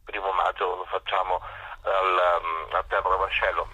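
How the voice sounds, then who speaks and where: A man speaking as a guest in a radio phone interview, explaining things in a halting, hesitant way. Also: low voice, level tone, pushed and bright, slightly rough. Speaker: guest musician presenting a concert. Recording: dull phone line, roomy echo.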